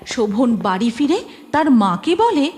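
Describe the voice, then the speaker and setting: A woman narrating a cartoon story in a steady storybook telling manner. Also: sits high, unhurried. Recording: music bed behind the voice.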